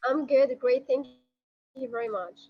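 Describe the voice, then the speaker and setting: A woman's voice: sits high, measured pace, husky, dull audio. A young woman from Iraq, a university lecturer, introducing herself hesitantly with frequent ums on a video call. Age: young adult.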